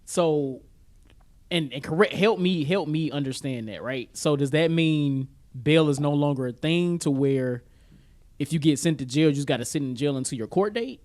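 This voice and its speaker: A man co-hosting a podcast in casual chat, halting and questioning as he thinks aloud. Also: on the high side, a little mellow. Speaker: podcast co-host.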